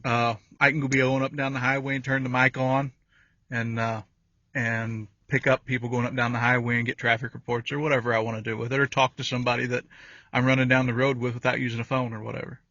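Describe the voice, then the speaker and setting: Even-toned man, dull lo-fi recording. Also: medium pitch, quick pace. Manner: folksy, informal, rambling. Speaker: vehicle DIY video creator. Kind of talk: casual handheld walkthrough. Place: in and around a pickup truck cab. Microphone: handheld phone or camera. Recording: dull lo-fi audio, roomy echo.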